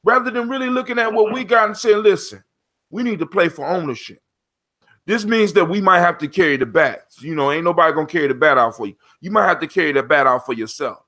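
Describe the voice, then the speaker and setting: Male discussion host, forties, American. Forceful, slightly high delivery, emphatic and impassioned. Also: quick pace. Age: 40s.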